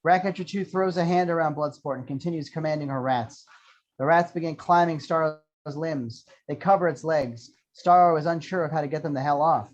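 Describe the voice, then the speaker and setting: A man's voice: on the high side, a little fast. A man reading screenplay action lines as scripted narration at an amateur table read. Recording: dull lo-fi sound.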